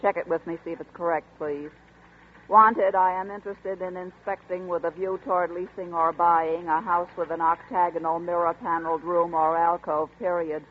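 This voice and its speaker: An actor playing a dry, matter-of-fact newspaper ad clerk in 1940s radio drama style, heard over a tinny old broadcast. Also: male voice, sits high, little pitch movement, normal speed.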